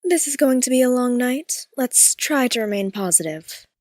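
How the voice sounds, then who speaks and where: A woman's voice: fairly high pitch, lively intonation, normal speed, a little mellow, dry recording, studio quality, close to an everyday voice. A woman playing a composed, tactful alien ruler in an audio drama, polite and rather formal. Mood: calm, tactful.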